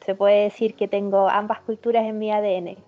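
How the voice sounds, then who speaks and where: A woman born in Chile and raised in northern Germany, answering interview questions in a composed, measured way. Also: medium pitch, level tone, highs cut off. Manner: measured and composed, with small restarts. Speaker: guest, regional trade representative.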